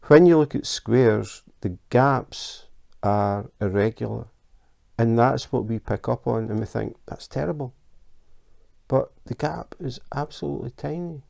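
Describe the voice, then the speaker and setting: A Scottish man hosting a casual how-to craft vlog, chatty and relaxed, with frequent ums and uhs. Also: mid-range voice, measured pace, vocal fry. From Scotland. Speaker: YouTube craft channel host. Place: his home in Denmark.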